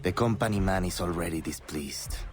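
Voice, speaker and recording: An actor playing a cold, scheming colonial villain in a video game, speaking in a formal, smooth, menacing way. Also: male voice, sits low, moderate pace, music in the background, lightly acted. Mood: impatient, scheming. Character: cold, scheming male villain overseeing a colonial labor camp. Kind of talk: dramatic video game cutscene acting.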